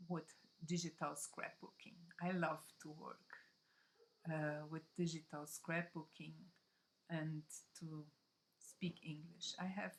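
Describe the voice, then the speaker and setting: A woman from Brazil with a Brazilian Portuguese accent, whose first language is Portuguese, walking viewers through a software tutorial in halting English and often checking in with "okay?". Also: lowish voice, rough recording. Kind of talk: screen-recorded software tutorial.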